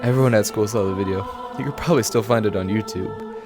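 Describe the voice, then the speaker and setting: An actor playing a remorseful teenage high school boy in an audio drama, earnest and halting in his delivery. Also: over music, lightly acted. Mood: ashamed. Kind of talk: scripted audio drama acting.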